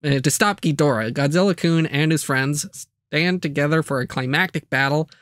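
A man with a somewhat high-pitched voice narrates as the host of a video channel. The sound is dry, with very little room in it. His scripted delivery is broken up by light, self-aware asides. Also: moderate pace, fairly bright tone, no room sound.

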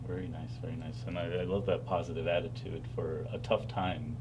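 A man's voice: low-pitched, little pitch movement, brisk. A man in his thirties hosting a studio interview, asking polite, friendly questions with some fillers. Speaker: host. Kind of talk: interview host. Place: studio set. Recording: clear hum behind.

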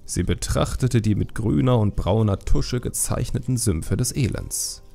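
A man narrating a fantasy audiobook in a measured, expressive storytelling manner. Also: on the low side, vocal fry, faint music behind.

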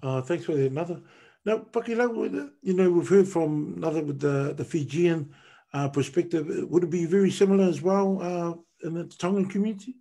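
A male host leads a live online talk over a video call. His voice sits a little high but sounds mellow. He feels his way through his questions with pauses and restarts. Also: gravelly. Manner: hesitant, searching for words while asking questions.

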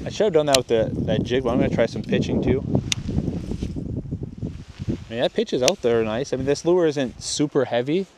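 A man's voice: medium pitch, lively intonation, a little fast, long pauses, noisy background. A young man hosting a fishing video, rambling through a casual gear test on a lakeshore and often restarting his sentences. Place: outdoors on a lakeshore. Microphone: chest-mounted camera.

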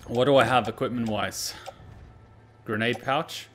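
A man's voice: medium pitch, fairly even intonation, a little slow, fairly bright tone, a little hiss or hum. A man giving casual gameplay commentary, talking to himself and swearing freely as he goes. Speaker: gaming streamer.

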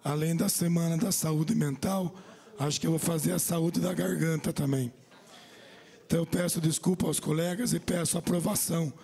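A man in his forties with a São Paulo accent, hoarse and nearly out of voice, making brief council remarks on a handheld microphone. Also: a little high, fairly even intonation, normal speed, mellow. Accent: Brazilian Portuguese, São Paulo state. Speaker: city councilman.

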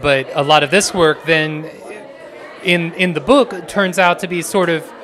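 A man's voice: a little high, unhurried, fairly bright tone, some chatter behind. A man in his thirties interviewing an artist in a gallery, framing questions hesitantly with many fillers, speaking into a handheld mic. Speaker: arts interviewer.

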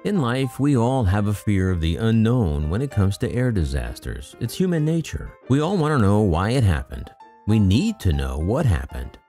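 A man's voice: lowish voice, sing-song, moderate pace, dark tone, faint music behind. A man hosting an aviation channel, reading news reports aloud in a scripted commentary and adding wry, skeptical asides. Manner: reads news quotes, then adds wry skeptical asides.

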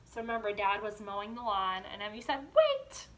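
A woman's voice: mid-range voice, fairly even intonation, fast talker, fairly bright tone, rough recording. A young woman teaching kindergarten from home, explaining simply and asking questions as if the children answer. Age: young adult. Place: small room at home. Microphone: device camera a step or two away.

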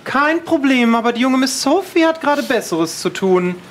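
A man's voice: extremely high pitch, lively intonation, normal speed, slight background noise, pitched above the performer's own voice. A man voicing a prim, formal, fussy female head housekeeper in an audio roleplay. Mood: flustered, strict.

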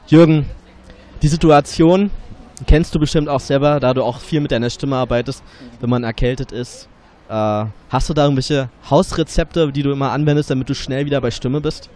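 A man's voice: medium pitch, steady tone, brisk, dark tone. A man in his twenties with a slight cold, hosting a casual interview with a handheld mic outside a café. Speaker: interviewer and host. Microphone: handheld reporter microphone.

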